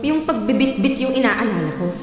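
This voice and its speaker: A woman playing an aggrieved young wife and mother, sharp, accusing and sarcastic, in a radio drama. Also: fairly high pitch, animated, a little fast, close to an everyday voice. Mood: angry, hurt. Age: young adult. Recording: very echoey, reverberant, music behind.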